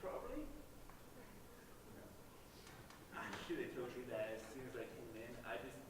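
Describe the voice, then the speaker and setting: A man's voice: mid-range voice, sing-song, normal speed, natural voice. A young man playing an awkward, oblivious college applicant in a live stage comedy, earnest and hesitant. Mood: earnest, defensive. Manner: earnest, rambling non sequiturs, hesitant. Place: school stage, distant microphone. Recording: echoey hall, distant mic, noisy.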